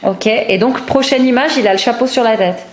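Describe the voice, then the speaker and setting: A woman's voice: a little high, a little fast. Woman chatting in an echoey room. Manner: encouraging, playful questioning. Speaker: mother presenting a home drawing video with child. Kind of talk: casual chat, asking questions. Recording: echoey room, clear background noise.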